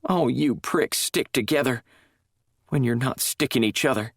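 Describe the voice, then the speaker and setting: A woman's voice: very deep voice, unhurried, slightly rough, dry recording, clean studio sound, pitched above the performer's own voice, full character voice. A man reading a story, voicing a distraught woman who is crying and rambling in broken-off sentences. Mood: bitter. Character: unhinged dying woman, bitter and grieving.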